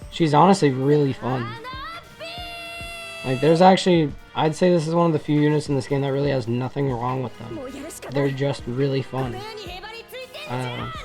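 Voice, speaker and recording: Gaming creator, mid-pitched voice, informal and rambling showcase commentary, music underneath. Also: a little mellow. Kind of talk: casual gameplay commentary.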